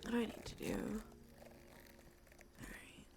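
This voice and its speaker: A woman hosting a cozy ASMR livestream, chatting casually in a soft, breathy, often half-whispered voice with a congested nose, in a laid-back, rambling way. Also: a little slow, faint music behind. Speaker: livestream host. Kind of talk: cozy ASMR stream, casual chat to viewers. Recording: clear background noise, ambient sound.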